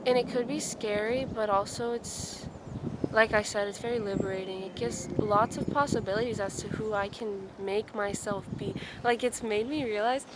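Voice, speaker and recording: A woman's voice: medium pitch, fairly even intonation, normal speed. A woman in her twenties talking through her thoughts in a casual outdoor vlog, musing and full of "like". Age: 20s. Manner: musing, says "like" a lot. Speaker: vlogger. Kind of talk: reflective casual vlog talk. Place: outdoors in a forest. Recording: outdoor noise behind her.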